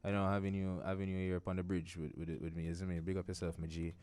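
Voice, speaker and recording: Man, Jamaican accent, radio host, studio, joking, lively, slangy. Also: sits low, fast, dry recording. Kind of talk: casual radio talk show chat.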